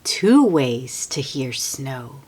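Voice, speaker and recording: Female storybook reader, husky and unhurried, expressive delivery that drops into hushed asides for young listeners. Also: very deep voice. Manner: slow, expressive, hushed at times, explains words simply. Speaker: children's book read-aloud host. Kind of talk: storybook read-aloud with asides to children.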